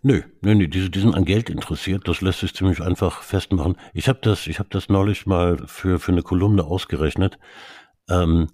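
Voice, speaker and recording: Low, husky man. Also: fairly even intonation, moderate pace, warm tone, no echo, studio quality. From Canada. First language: English. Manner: hesitant, many restarts and fillers. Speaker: media expert guest. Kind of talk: casual expert conversation.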